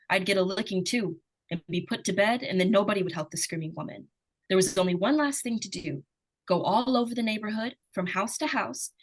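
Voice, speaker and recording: A woman's voice: mid-range voice, natural voice, rough recording. A woman playing a plucky, anxious, insistent little girl in an old-time radio suspense play.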